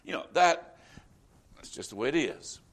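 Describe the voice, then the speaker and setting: Male pastor, Southern US accent, middle-aged, slightly higher voice, folksy conversational sermon delivery. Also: sing-song, moderate pace, creaky, a bit bright. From Florida, US. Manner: conversational preaching with frequent "you know".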